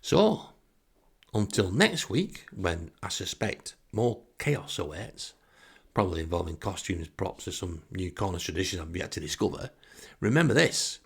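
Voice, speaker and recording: Male podcast storyteller, Northern English, lowish creaky voice, sarcastic and wry, comic anecdotes. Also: very animated, unhurried. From northern England. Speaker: podcast host. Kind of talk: comic first-person storytelling monologue.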